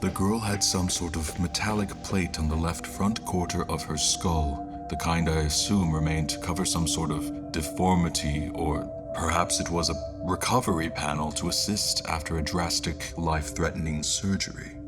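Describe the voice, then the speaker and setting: A man giving scripted, dramatic story narration in a measured, solemn way, with music under his voice. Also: low-pitched, steady tone.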